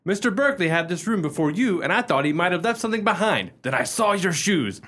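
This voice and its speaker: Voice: mid-range voice, big pitch swings, quick pace, a bit bright. A performer playing an earnest, officious young Boy Scout in a radio comedy, eager and literal-minded. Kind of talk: radio play acting.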